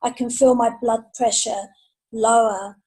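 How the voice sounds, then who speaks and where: Woman podcast guest chatting away casually, kinda high voice, really mellow. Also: steady tone, measured pace. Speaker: podcast guest, freelance writer and author. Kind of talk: casual interview chat.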